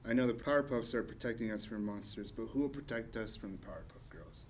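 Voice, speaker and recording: A man's voice: on the low side, expressive, fast, natural voice. Man reading as a bitter scientist, faint noise behind. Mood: aggrieved, accusing. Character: bitter male scientist rival, aggrieved and suspicious. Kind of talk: comedic table read.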